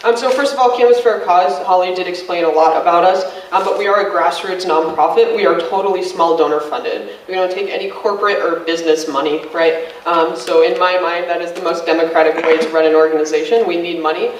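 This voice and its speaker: Brief: loud, casual male organizer, high-pitched, speaking from a distance in a roomy space. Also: steady tone, a little fast, few pauses. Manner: casual, upbeat, many fillers. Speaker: activist, field director. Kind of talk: informal talk to a student group. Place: college meeting room. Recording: echoey room from a distance.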